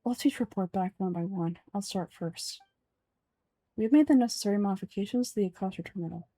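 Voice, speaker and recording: A woman's voice: medium pitch, a little fast, mellow, dry recording. A woman who is an amateur voice actor, performing a reading of video game dialogue.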